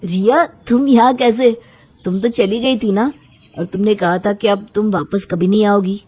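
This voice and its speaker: A devoted young man in love, played by a woman, with a dark, mellow and rather breathy voice. The delivery is earnest and plaintive storybook dialogue, needy and sincere. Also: medium pitch, fairly even intonation, moderate pace, natural voice. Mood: surprised, hopeful.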